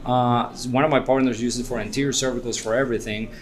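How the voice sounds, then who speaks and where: A man, a spine neurosurgeon answering questions in an interview at a conference, speaking in a relaxed, fluent way. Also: mid-range voice, fast. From Venezuela. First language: Spanish.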